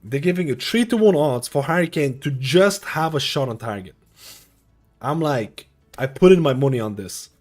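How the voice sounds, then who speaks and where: A man in his thirties, originally from Lithuania, talks to his stream viewers in a loose, rambling way, circling back and repeating phrases. His voice sits at a middling pitch. Faint music plays quietly behind him. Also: expressive, normal speed. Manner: rambling, repeats himself, many fillers. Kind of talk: casual live-stream chat. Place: home streaming room.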